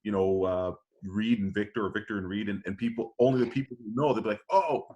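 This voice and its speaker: A man on a podcast panel casually pitching ideas, hesitant as he thinks aloud. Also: steady tone, fast, warm tone, muffled recording.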